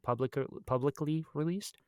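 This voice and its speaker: A podcast co-host of ambiguous-sounding sex with a medium-pitched voice, recorded close and dry. The delivery is casual and gushing, full of a fan's enthusiasm, with phrases repeated for emphasis. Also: moderate pace, darkish tone, dry recording. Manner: acts out quoted exchanges in storytelling. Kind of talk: casual chatty podcast catch-up.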